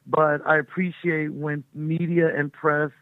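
A woman's voice: low-pitched, level tone, unhurried, no echo. A middle-aged woman speaks as a musician guest, bubbly and effusive, laughing often. She comes through a thin, tinny phone line with a dry sound. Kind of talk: casual interview by phone.

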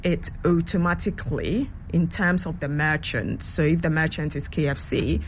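Low-voiced woman explaining her app. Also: normal speed, slight background noise. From London. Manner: chatty, explanatory, many ums and you-knows. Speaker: app founder, guest. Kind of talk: radio interview answers.

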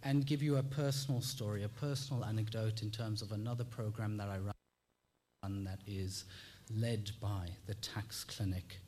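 South African male speaker for a lecture hall: measured, formal delivery, repeats lines for effect, faint room hum behind. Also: lowish voice, fairly even intonation, a little mellow. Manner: measured and formal, with rhetorical repetition. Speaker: tax clinic presenter. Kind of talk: conference presentation.

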